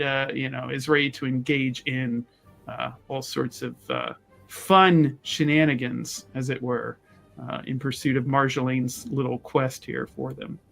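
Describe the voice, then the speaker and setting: A man improvising his character's story at a tabletop roleplaying game, wordy and halting, often repeating himself. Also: medium pitch, gravelly, fairly bright tone.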